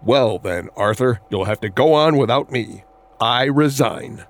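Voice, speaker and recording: Indignant sales executive: principled, lively swings in pitch, campy audio drama. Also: male voice, unhurried. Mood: outraged.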